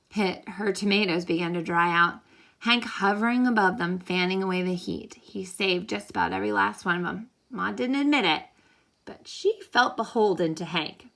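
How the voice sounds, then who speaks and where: Bright-voiced woman reading a storybook aloud. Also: medium pitch. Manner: animated, pausing to ask listeners questions. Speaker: teacher reading a picture book aloud to children. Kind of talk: expressive storybook read-aloud with think-aloud questions.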